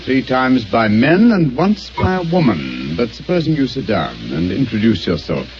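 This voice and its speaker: An actor playing a calm, sharp male detective who talks with precise, dryly ironic deduction in an old radio drama. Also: medium pitch, normal speed, over music, lightly acted. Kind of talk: 1940s radio acting. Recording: dull old radio broadcast.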